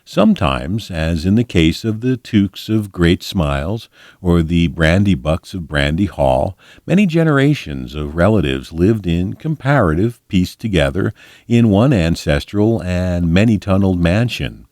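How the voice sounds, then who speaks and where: Deep-voiced man reading expressively aloud. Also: expressive, measured pace, a little mellow, dry recording, studio quality. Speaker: volunteer storybook reader. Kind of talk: scripted audiobook narration of a fantasy book.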